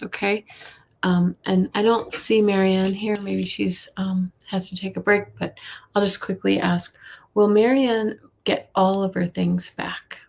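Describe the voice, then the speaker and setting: A woman hosting a live tarot reading, a little hoarse, chatty, with a soft voice that often drops to a whisper. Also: mid-range voice. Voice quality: soft voice, not loud. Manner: chatty, reads viewer questions aloud, drops to whispers. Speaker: intuitive reader, live stream host.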